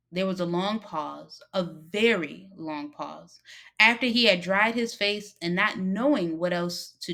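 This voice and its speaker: A woman narrating a short story expressively, with dramatic pauses and emphasis. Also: on the low side, fairly bright tone. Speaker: story reader.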